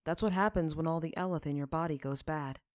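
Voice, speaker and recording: A woman voicing a gentle, weary, earnest father in a story reading, speaking in a measured way. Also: sits low, dry recording, clean studio sound, lightly acted.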